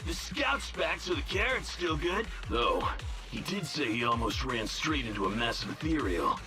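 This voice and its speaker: A man's voice: medium pitch, big pitch swings, normal speed, music in the background, natural voice. Man acting a cocky gang boss, swooping delivery. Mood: irritated, pushy. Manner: cocky, slangy, needling. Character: brash, money-minded rough mining gang boss. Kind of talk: English video game voice acting.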